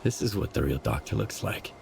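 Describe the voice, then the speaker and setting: An actor playing a gruff, wary male stalker in a video game, terse and questioning. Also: very deep voice, lively intonation, a little fast, heavily acted. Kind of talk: video game cutscene acting. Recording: noisy ambience, insect-like buzzing.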